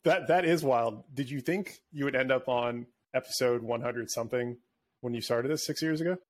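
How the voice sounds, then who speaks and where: A man's voice: mid-range voice, moderate pace. A man interviewing a colleague on a podcast in a casual, conversational style. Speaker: podcast panelist acting as interviewer.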